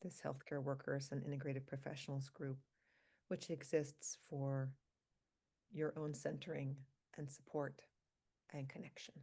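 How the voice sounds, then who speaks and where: A woman leading a guided meditation for a group, speaking calmly and slowly in short, spaced phrases. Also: very deep voice, vocal fry, slightly rough. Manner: calm, slow, pausing between short phrases. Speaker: meditation leader, physician.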